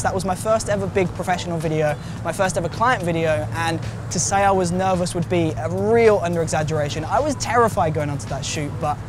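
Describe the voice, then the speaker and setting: A man with a slightly croaky voice from a cold, chattily and encouragingly answering viewer questions in a casual vlog. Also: high voice, background noise. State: recovering from a cold. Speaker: video creator host answering viewer questions. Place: outdoors in public urban spots.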